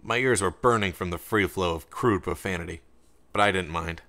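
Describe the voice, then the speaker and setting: A man's voice: low-pitched, normal speed, sharp tone. A man reading scripted story narration as an audiobook narrator, with music behind him. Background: music under the narration.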